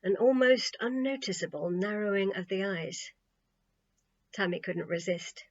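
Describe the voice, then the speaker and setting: A woman reading a children's story as an expressive audiobook narrator. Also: mid-range voice, unhurried, muffled recording.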